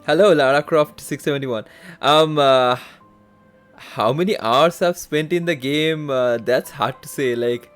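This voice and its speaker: A man giving casual, halting live commentary on a game he plays, easily amazed by what he sees. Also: fairly high pitch. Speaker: game livestreamer. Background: game music.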